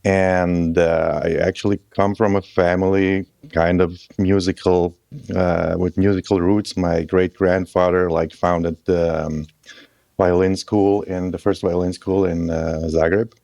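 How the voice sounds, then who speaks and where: A man in his thirties from Croatia, a guest musician chatting casually in a halting, loose way with restarts. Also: low voice, level tone, mellow, no echo, studio quality. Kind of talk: casual interview chat.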